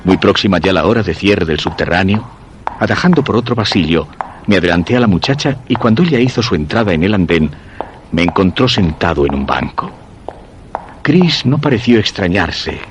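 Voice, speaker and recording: An actor with a Spain accent playing a shy, obsessed man who tells his own suspense story in measured, literary radio-drama narration. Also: deep voice, animated, noisy background. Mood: measured, brooding. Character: Guillermo, shy obsessed man secretly following a young woman.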